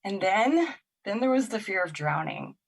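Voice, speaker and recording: A woman's voice: mid-range voice, expressive, normal speed, gravelly. An actress in an audio drama playing a wounded, wary young woman who talks in a casual, hesitant and candid way. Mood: vulnerable, confessing.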